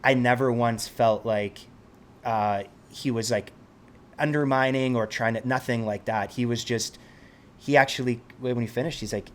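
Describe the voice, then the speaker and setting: A man's voice: medium pitch, steady tone, moderate pace, a little hiss or hum. A man from Switzerland, a helicopter pilot being interviewed, talking in a reflective way and often restarting his sentences as he searches for words. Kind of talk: casual conversation.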